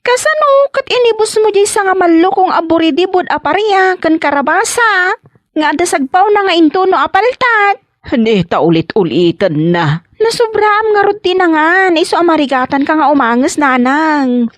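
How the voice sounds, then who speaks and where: A woman's voice: extremely high pitch, lively intonation, normal speed, no room sound. A woman with an Ilocano accent playing an ailing, complaining mother in a comedy drama, dramatic and fretful. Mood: fretful, suffering.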